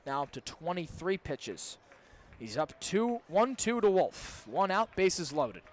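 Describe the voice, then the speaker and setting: A young male broadcaster gives live baseball play-by-play for radio. His voice sits high, and there is faint outdoor ambience behind him in the booth. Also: very animated, slow, a little hiss or hum. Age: young adult. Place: ballpark broadcast booth with open window.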